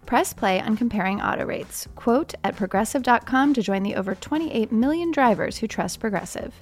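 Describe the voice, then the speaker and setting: A woman's voice: a little high, lively intonation, normal speed. A woman announcer giving a scripted advertisement read.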